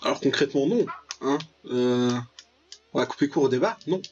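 A man's voice: medium pitch, lively intonation, normal speed, darkish tone, slight background noise. A man giving casual, joking gameplay commentary as the host of a gaming video. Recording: dull, lo-fi mic, music behind. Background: game music.

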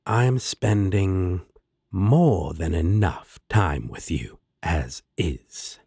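A man's voice: low-pitched, lively intonation, extremely slow, a little mellow, husky, dry recording, clean studio sound, heavily acted. A man playing a sly, laid-back demon roommate in an audio drama, teasing and sardonic with a coaxing drawl. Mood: amused, laid-back. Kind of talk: roleplay audio drama acting.

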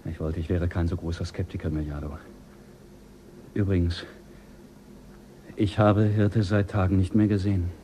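An actor playing a skeptical, quietly ruthless male astronaut in a radio play, speaking low and measured. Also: level tone, slight background noise, lightly acted. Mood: calm.

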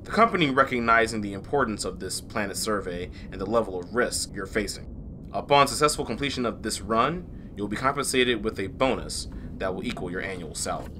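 An actor playing a businesslike space commander in an audio drama, formal and detached. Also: male voice, on the low side, lively intonation, fairly quick, pushed and bright, slight background noise. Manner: formal, official, matter-of-fact. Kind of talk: sci-fi audio drama acting.

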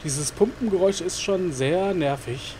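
Rambling gamer man, higher voice. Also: moderate pace, noisy background. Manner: rambling, many fillers, mutters to himself. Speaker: gaming YouTuber. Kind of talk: casual let's-play commentary.

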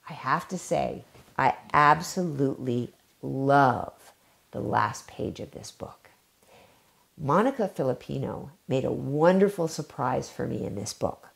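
A middle-aged woman speaks to children in a low, gravelly voice that swoops up and down with lively expression. She stretches key words out like a storytime reader while showing a craft. She sits in a home library, recorded by a camera set a little way off. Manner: warm storytime delivery, drawn-out emphasis. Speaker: children's book author. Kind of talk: reading aloud and craft demo for kids. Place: home library, seated in armchair before bookshelves.

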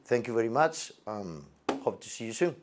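A man in his forties with halting, non-native English gives an unscripted product demonstration as a presenter, recorded indoors on a lapel mic. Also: mid-range voice, big pitch swings, background noise. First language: not English. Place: indoor demo set with backdrop.

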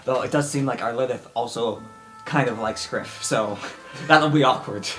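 A woman's voice: very deep voice, moderate pace, fairly bright tone. A woman improvising in a tabletop game, chatting casually as she bounces ideas around. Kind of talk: casual improvised roleplay chat. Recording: music bed under the voice. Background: background music.

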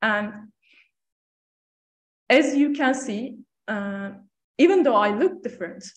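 A woman, her voice sitting a little high. She talks in a measured, earnest way, leaning on "so" and "uh" between points. The sound carries the echo of a lecture room. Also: lively intonation. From Turkey. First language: Turkish. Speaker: panel speaker. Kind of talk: prepared talk at a panel event. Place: lecture room on stage, seated panel.

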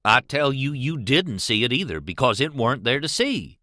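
A man's voice: medium pitch, sing-song, normal speed, bright, forceful tone, no echo, studio quality. A man voicing a scornful, argumentative adolescent boy, lecturing emphatically in an audiobook. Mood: insistent. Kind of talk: audiobook character voice.

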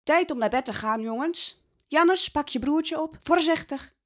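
A woman's voice: high voice, unhurried. A woman playing a desperate, hungry farmer's wife and mother in an audio drama. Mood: urgent, weary.

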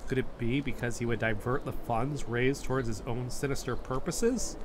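An actor playing an earnest amateur investigator, narrating events in first person as scripted audio drama. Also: male voice, mid-range voice, fairly even intonation, normal speed, background noise, natural voice. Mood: earnest, intrigued.

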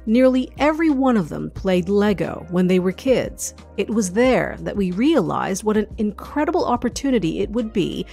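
A woman's voice: mid-range voice, vocal fry, a little mellow. A woman hosting an interview podcast, reading a polished introduction and asking her guest questions. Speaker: podcast host.

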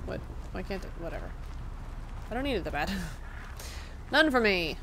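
Chatty woman streamer, lively pitch. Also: moderate pace, a little hiss or hum, poor audio. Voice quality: soft, slightly raspy. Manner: chatty, answers chat questions aloud. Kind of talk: casual live-stream chat while gaming. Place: indoor room. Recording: game music underneath.

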